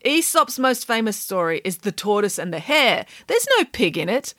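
Woman as a sceptical, lively schoolboy. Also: medium pitch, moderate pace, dry recording, studio quality, pitched above the performer's own voice. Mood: objecting. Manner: questioning, matter-of-fact objections. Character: sceptical schoolboy, earnest and literal-minded. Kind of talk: audiobook character voice.

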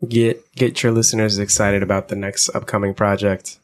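A man's voice: on the low side, flat delivery, moderate pace, a little hiss or hum. A man interviewing a podcast host, asking casual questions, humming along attentively and joking. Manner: attentive, many soft back-channel hums, joking.

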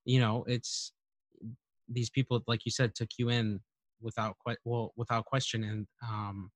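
A man's voice: mid-range voice, level tone, measured pace, dry recording. Young man, chatty friendly interviewer. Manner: friendly, rambling questions, says "dude" and "man". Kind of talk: casual interview.

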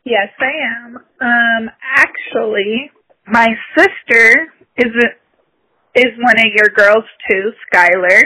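A woman's voice: fairly high pitch, slow pace, sharp tone, husky, poor audio. A woman answering interview questions casually, with shy little laughs. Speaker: guest.

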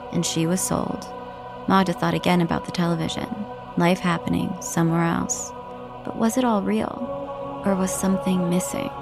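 An actress narrating a brooding audio drama as its storyteller, in a measured, lyrical manner. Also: female voice, fairly low pitch, creaky. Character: brooding storyteller of a 1950s coming-of-age tale. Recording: loud music behind narration.

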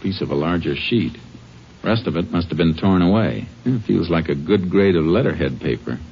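An actor playing a calm, sharp-eyed Texas Ranger investigator, clipped and probing, in 1950s western radio drama style. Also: male voice, low-pitched, normal speed, creaky, husky, full character voice. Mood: methodical, grave. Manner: clipped, probing, matter-of-fact. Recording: dull, noisy vintage radio recording.

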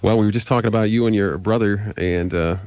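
A low-pitched man hosts 1980s rock radio, announcing and interviewing as a DJ. The sound is close and dry, captured on an old hissy cassette tape. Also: steady tone, quick pace, a little mellow, no echo.

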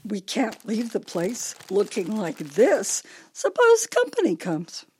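An actress in a radio play performing a confused, volatile older wife with failing memory. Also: female voice, medium pitch, huge pitch swings, slow, very noisy. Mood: fretful. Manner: agitated, swinging between accusation and childlike politeness.

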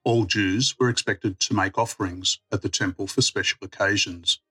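Low, slightly husky man, scripted reflection. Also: fairly even intonation, normal speed, bright, forceful tone, faint music behind. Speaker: church mission worker giving a reflection.

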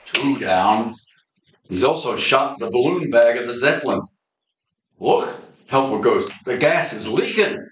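A man's voice: medium pitch, expressive, unhurried, a bit bright, a little hiss or hum. A man playing a blunt, offhand detective. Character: gruff hard-boiled male supernatural detective. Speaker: detective Blaine in a radio play. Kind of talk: amateur community radio play acting. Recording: echoey room.

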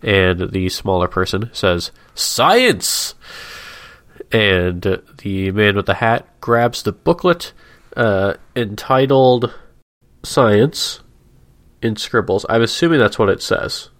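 Low-voiced stuffy man in casual banter. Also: takes it slowly, a bit bright, no echo. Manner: wry, rambling, easily amused. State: stuffy nose. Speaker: podcast co-host. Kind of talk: casual chat, describing a comic.

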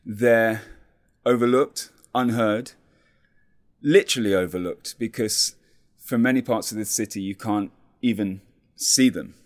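A man calmly describing his city as a guest on a talk program, speaking in a measured, descriptive way. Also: fairly low pitch. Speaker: community radio guest. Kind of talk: conversational explanation.

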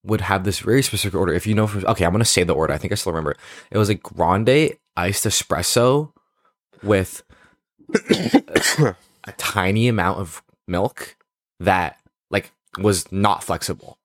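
A man's voice: deep voice, moderate pace, dry recording, clean studio sound. A young man co-hosting a podcast, telling stories casually in slangy, profane, exaggerated language. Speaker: podcast co-host. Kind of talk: casual chat, storytelling.

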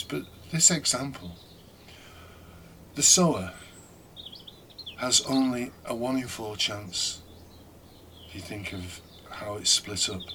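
Male preacher, lowish voice, slow and considered, bright tone. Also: slight background noise. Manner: unhurried, thoughtful, with pauses. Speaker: homilist. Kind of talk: reflective homily.

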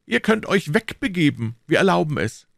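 A man voicing a haughty, impatient guard officer in an audiobook, giving orders in a sharp, exclamatory, commanding manner. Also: fairly high pitch, sing-song, moderate pace, vocal fry, no room sound, clean studio sound, pitched above the performer's own voice, full character voice. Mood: dismissive, commanding.

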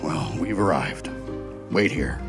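A man playing a big friendly bear guide in a children's audio drama, theatrical, formal and gently teasing. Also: very deep voice, expressive, slow pace, music in the background, exaggerated voice. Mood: wry, teasing.

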